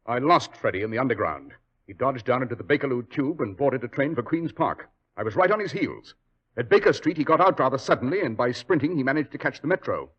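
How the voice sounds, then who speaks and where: An actor playing a commanding detective in a 1940s radio drama, talking in a clipped, authoritative way. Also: male voice, medium pitch, lively intonation, fast, husky. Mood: brusque. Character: brisk commanding English detective, middle-aged man. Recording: dull old radio broadcast recording.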